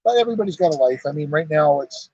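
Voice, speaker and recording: A middle-aged man talks in an easygoing, folksy way at an outdoor car show. His voice is husky and a little breathy. The sound is dry and dull, like a lo-fi live stream. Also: on the high side, expressive, fast, darkish tone, dry recording. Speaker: car club president and garage owner. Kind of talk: casual on-site interview.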